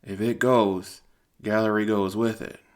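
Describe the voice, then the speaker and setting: Miner for audio drama, done by a male narrator: shaken, terse, urgent, pitched slightly up. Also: flat delivery, measured pace, close to an everyday voice. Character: shaken miner from the wilds reporting a disaster.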